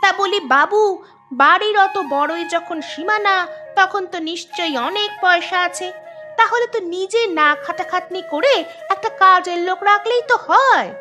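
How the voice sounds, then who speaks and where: A woman's voice: extremely high pitch, moderate pace, bright, forceful tone, faint music behind. A woman voicing a spiteful, taunting village housewife in a cartoon, with mocking, sarcastic jibes. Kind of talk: cartoon voice acting.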